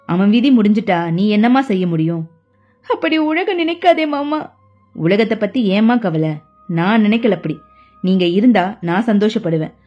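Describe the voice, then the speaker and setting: A woman voicing a kind, grave elderly father-in-law, in a lowered soft voice, in an audio story. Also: animated, moderate pace, dull audio, soft background music, close to an everyday voice. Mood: consoling.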